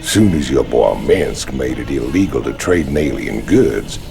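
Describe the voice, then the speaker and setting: An extremely deep male voice plays a swaggering Southern outlaw in a video game. It is heavily gravelled and slow, swinging widely as he mocks and brags. He is gruff and cocky throughout. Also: animated, over music, full character voice. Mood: sly, drawling. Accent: Southern American. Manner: drawling, cocky teasing. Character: hulking, swaggering ex-convict outlaw, gruff and mocking. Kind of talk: video game cutscene acting.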